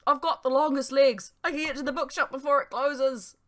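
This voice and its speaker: A woman's voice: high-pitched, steady tone, a little fast, pitched above the performer's own voice, close to an everyday voice. A woman voicing a brash, cheeky young male student in an audiobook, with an exuberant, theatrical manner. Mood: gleeful. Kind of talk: dramatised audiobook dialogue.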